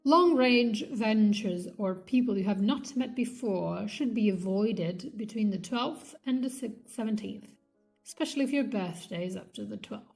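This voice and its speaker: A woman reading an academic textbook aloud in a measured, slightly halting way. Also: medium pitch, lively intonation, creaky, darkish tone, soft background music. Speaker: reader of a linguistics textbook. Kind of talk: read-aloud textbook narration.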